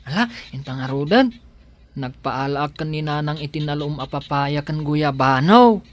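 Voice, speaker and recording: An actor playing a shy, humble and devoted young fiancé in a radio drama, with a bashful, hesitant way of speaking. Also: male voice, fairly high pitch, expressive, moderate pace, slight background noise, lightly acted. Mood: shy, inviting.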